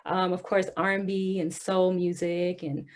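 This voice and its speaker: A woman's voice: on the low side, little pitch movement, measured pace. A young woman singer from the Houston area in Texas, chatting in an interview, talkative, laughing often, with many ums. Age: young adult. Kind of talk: casual interview chat.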